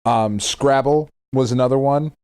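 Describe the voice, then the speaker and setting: A man's voice: mid-range voice, unhurried, darkish tone, clean tone, no echo. A man co-hosting a podcast in casual chat about games, blunt, informal and joking. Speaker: podcast co-host.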